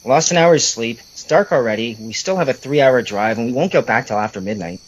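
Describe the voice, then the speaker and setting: Casting: male actor as sarcastic, complaining brother for horror audio drama, very lively pitch swings. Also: fairly quick, lo-fi sound, natural voice. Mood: grumbling. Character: teasing, grumbling adult brother, sarcastic and irreverent.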